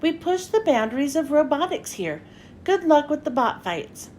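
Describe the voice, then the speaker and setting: Lady doing a formal professor guy in a kids book, challenging, pretty high voice. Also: sing-song, normal speed, a little mellow, a little hiss or hum. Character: challenging, self-assured male robotics professor.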